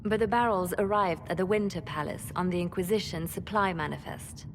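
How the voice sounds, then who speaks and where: Low-voiced, composed spymaster played by a woman. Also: fairly quick, a little hiss or hum, close to an everyday voice. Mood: urgent. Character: composed, grave female spymaster, calculating and urgent. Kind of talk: dramatic video game acting.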